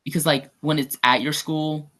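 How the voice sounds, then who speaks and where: A man's voice: on the high side, little pitch movement, moderate pace, a bit bright. A young man in casual podcast conversation, talking in a chatty, rambling way full of fillers. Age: young adult. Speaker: guest actor.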